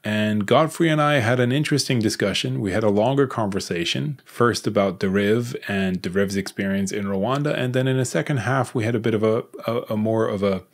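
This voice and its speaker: Low-voiced man hosting an interview. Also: fairly quick, hardly any pauses. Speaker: podcast host, consultant. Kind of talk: scripted intro and interview.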